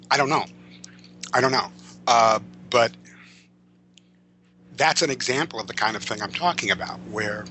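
A man's voice: medium pitch, bright, forceful tone, a little hiss or hum. A man speaking as an interview guest, conversational and wordy, often stammering over repeated words and circling back to rephrase. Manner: articulate, wordy phrasing. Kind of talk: conversational storytelling and opinion about comics and film.

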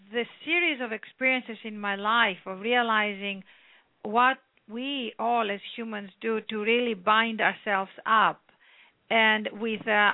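A woman with a Greek accent speaks earnestly about her book, pausing often on "uh" as she searches for words. Her voice sits fairly high with a gravelly fry, and it comes through a tinny, dry phone line. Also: no room sound. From Greece. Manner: rambling, earnest, with many "uh" pauses. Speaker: author guest. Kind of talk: phone interview.

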